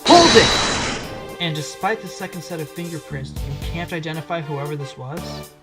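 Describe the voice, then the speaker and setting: A man voicing a wry, sharp-witted fox defense attorney in a fan audio drama, insistent as he questions a witness. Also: a little high, moderate pace, natural voice. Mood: challenging. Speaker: defense attorney cross-examining. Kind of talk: fan audio drama acting with inner monologue. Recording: loud music under the voice. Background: loud music.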